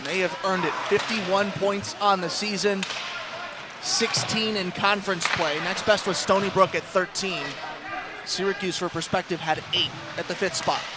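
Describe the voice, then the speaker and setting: A man doing live radio play-by-play commentary of an ice hockey game, describing the action in rapid detail and lifting his voice with the action. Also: sits high, fairly even intonation, very noisy. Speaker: play-by-play sports announcer.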